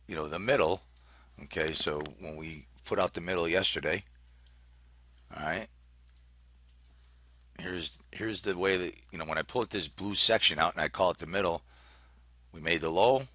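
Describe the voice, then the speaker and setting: A man giving informal, unscripted market commentary as an analyst, talking haltingly as he thinks aloud and reads out numbers. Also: low-pitched, bright, forceful tone, no room sound. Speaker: market analyst hosting a trading briefing.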